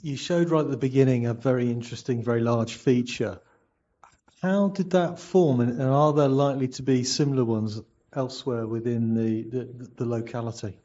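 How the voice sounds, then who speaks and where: Male audience questioner, mellow tone, distant and muffled. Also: mid-range voice, steady tone, moderate pace. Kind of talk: asking a question. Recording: dull lo-fi sound from off-mic.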